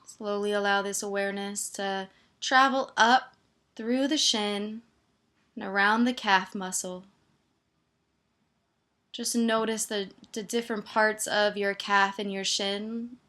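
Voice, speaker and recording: A woman meditation teacher gently guiding a body scan, soothing and leaving pauses between her instructions. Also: mid-range voice, steady tone.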